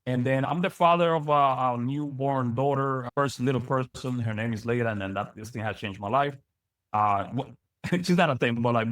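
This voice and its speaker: A young man from the Dominican Republic giving an energetic live business training lecture, repeating words and using many fillers. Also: mid-range voice, no room sound. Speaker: business coach.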